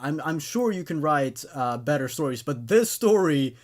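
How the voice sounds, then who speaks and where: Higher-pitched man reviewing horror stories, stammering. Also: very animated, moderate pace. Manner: stammering, repeats words. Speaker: host and narrator of a horror story show.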